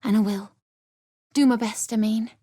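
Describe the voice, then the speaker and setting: An actress voicing a frightened, grieving young wife in a fantasy video game, pleading and tearful, breaking into anguished cries. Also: female voice, fairly high pitch, steady tone, moderate pace, warm tone, no room sound, studio quality, close to an everyday voice. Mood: strained.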